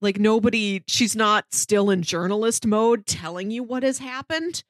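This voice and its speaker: A woman's voice: mid-range voice, normal speed, no echo. A woman co-hosting a podcast, casually explaining her thoughts in loose, conversational sentences. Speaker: podcast co-host. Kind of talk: casual discussion and review.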